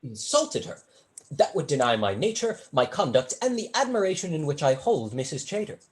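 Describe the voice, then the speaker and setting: Student actor playing a witty young male tutor, very animated pitch, eloquent, arch, smoothly flattering, period stage comedy. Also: moderate pace, lightly acted. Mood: unruffled, mocking. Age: young adult. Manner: eloquent, arch, elaborately formal.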